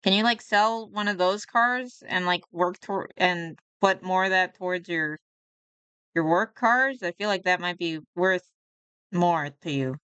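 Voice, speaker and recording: A woman's voice: lowish voice, unhurried, fairly bright tone, clear, resonant tone, no echo. A young woman streaming live, chatting casually about herself and reacting to a video, often laughing and poking fun at herself.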